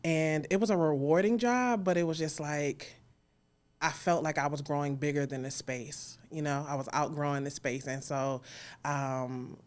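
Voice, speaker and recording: A woman's voice: deep voice, fairly even intonation, normal speed, darkish tone. An American woman chatting informally as a guest in a live interview. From Alexandria, Virginia, United States. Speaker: interview guest, audio drama creator. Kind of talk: casual live interview talk.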